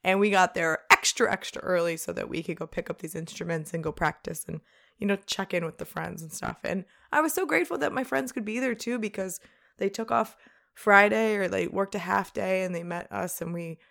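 A young woman with a low, gravelly voice co-hosts a casual podcast. She talks in a chatty, animated way and laughs often. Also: brisk. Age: young adult. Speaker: podcast co-host. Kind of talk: casual chat.

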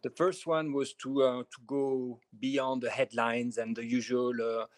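A man from France with a French accent, guesting on a podcast interview and answering in a measured way, pausing often with small fillers. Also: mid-range voice, flat delivery, no echo. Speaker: film director guest.

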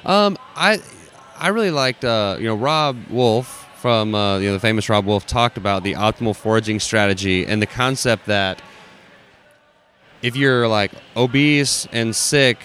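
A man hosts a casual interview, his voice lowish and loose. He rambles playfully and leans on "like" a lot, with faint ambience around him on the expo floor. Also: unhurried, a bit bright, slight background noise. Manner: rambling, playful, heavy use of "like". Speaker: podcast host.

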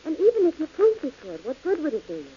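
An actress playing a skeptical, dry-witted companion in an old radio drama. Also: female voice, high voice, wildly swooping pitch, fast talker, warm tone. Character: skeptical wry woman, detective's companion. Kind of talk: 1940s radio acting. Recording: dull old radio broadcast with hiss.

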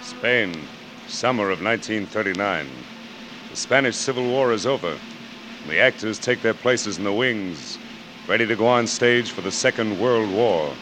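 A man's voice: lowish voice, normal speed. A man giving solemn, portentous scripted narration in a 1940s radio drama style. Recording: faintly noisy old radio recording.

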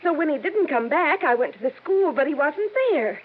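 A frantic mother with a very high, pleading voice, rushing through her words. The sound is a muffled old radio broadcast. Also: expressive. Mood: distraught. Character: frantic, distraught mother of a missing boy, a woman. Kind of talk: 1950s radio melodrama acting. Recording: muffled 1950s radio recording, faint noise.

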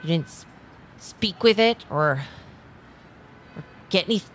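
A woman playing a determined but flustered time agent, speaking haltingly and earnestly in an improvised audio drama. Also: fairly low pitch, animated. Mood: rattled, probing.

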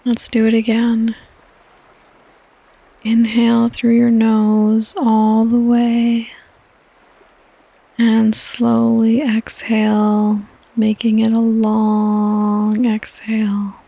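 A woman hosting a guided sleep meditation in a soft, breathy, partly whispered voice, with calm, gentle instructions and long pauses. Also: a little high, no room sound, low-quality recording. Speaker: podcast host and meditation guide.

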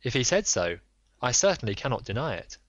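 A man voicing a reserved Victorian male detective who speaks in a measured, formal and guarded way. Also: mid-range voice, very animated, no echo, lightly acted. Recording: dull lo-fi dry recording.

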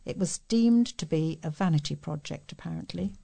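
Casting: British woman, lowish pitch with noticeable vocal fry, volunteer reader of local news and letters. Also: moderate pace, dark tone. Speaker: volunteer newsreader for a talking newspaper. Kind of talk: reading local news articles and letters aloud.

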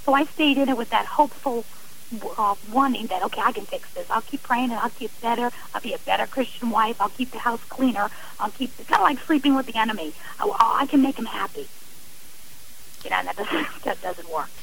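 A woman's voice: a little high, fairly quick, gravelly, a bit bright, slight background noise, rough recording. A middle-aged woman speaking earnestly and emphatically as a guest in a radio interview, giving personal testimony in a conversational way. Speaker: interview guest, author and abuse survivor.

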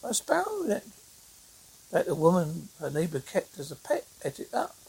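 A reader narrating a folk tale aloud in a halting amateur way, stumbling over words, with a husky, creaky voice. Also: mid-range voice, huge pitch swings, a little mellow, low-quality recording. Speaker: storyteller reading a fairy tale.

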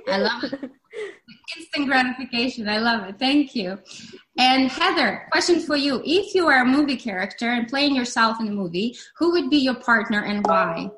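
A woman's voice: on the high side, lively intonation, normal speed, fairly bright tone, low-quality recording. A woman moderating an online panel discussion, enthusiastic and repeating her words of praise.

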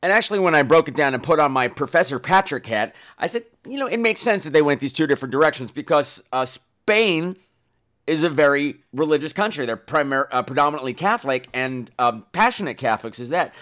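A man hosting a podcast, giving casual, opinionated and profane film commentary in an animated way. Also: a little high, brisk. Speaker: podcast host.

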